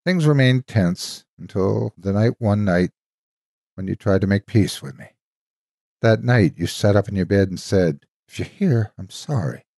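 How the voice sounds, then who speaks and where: A man narrating a scripted horror story in a measured, expressive, dramatic storytelling manner. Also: lowish voice, creaky, no echo, studio quality.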